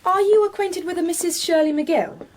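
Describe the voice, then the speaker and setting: A woman's voice: very high voice, normal speed, a little mellow. An actress playing a polite, probing policewoman in a radio drama, formal and questioning.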